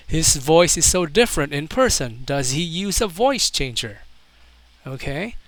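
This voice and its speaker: A man's voice: a little high, a bit bright, clear, resonant tone, no echo. A man doing casual video commentary, reading out online comments in an amused, mocking way.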